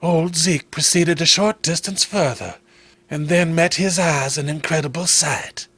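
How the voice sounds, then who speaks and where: A man's voice: fairly high pitch, a little slow, a bit bright, husky, no echo. A man reading a horror story aloud as narrator in a dramatic, scripted style.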